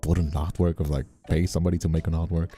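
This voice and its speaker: Very deep, dark-voiced husky man. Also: flat delivery, quick pace, faint music behind. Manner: rambling, exasperated venting with heavy repetition. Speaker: streamer and host. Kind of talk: casual stream chat.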